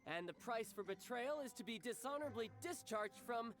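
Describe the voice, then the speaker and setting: An actor playing a proud, theatrical young military commander in a video game, giving grand speeches that sometimes stumble. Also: male voice, extremely high pitch, expressive, moderate pace, a bit bright, faint music behind. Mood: commanding. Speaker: game character.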